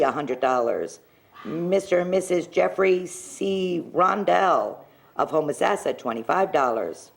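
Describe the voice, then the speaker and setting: A woman's voice: fairly low pitch, vocal fry. A woman on a telethon, first chatting eagerly about her donation and then reading out donor names and amounts, tripping over hard names and apologizing. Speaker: local business owner donating to a telethon. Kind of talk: casual chat, reading donor names aloud. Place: television telethon studio. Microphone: handheld microphone.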